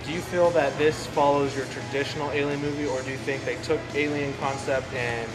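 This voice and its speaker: A man's voice: on the high side, level tone, a little fast, rough recording. Casual male review host over music. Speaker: host of a movie review show. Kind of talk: casual movie review commentary. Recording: loud music bed behind voice.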